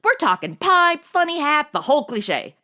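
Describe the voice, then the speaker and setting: A woman's voice: sits high, big pitch swings, moderate pace, sharp tone, full character voice. A woman playing a chatty, cheeky, jittery modern young woman in a comedy audio drama, slangy and over-caffeinated.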